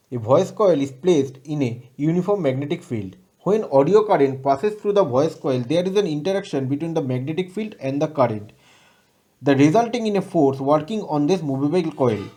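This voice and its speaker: A man's voice: on the high side, animated, fairly quick, mellow. A man with an Indian accent giving a formal, scripted technical lesson as a lecturer, in a recited textbook manner. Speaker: lecturer presenting an electronics lesson. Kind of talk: scripted educational presentation.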